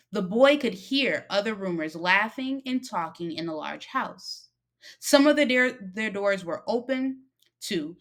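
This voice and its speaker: A woman narrating a short story expressively, with dramatic pauses and emphasis. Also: medium pitch. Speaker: story reader.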